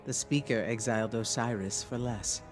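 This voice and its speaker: A man's voice: medium pitch, fairly even intonation, normal speed, over music, close to an everyday voice. A man playing a candid, loyal, thoughtful ally in video game dialogue, conversational and sincere. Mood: cautious gratitude.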